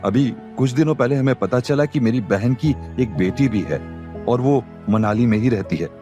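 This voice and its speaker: An actor playing an affectionate, wistful older uncle in an audio drama, speaking hesitantly. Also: male voice, mid-range voice, lively intonation, moderate pace, dull audio, over music, natural voice. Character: warm older uncle, wistful, searching for family. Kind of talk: Hindi audio drama acting.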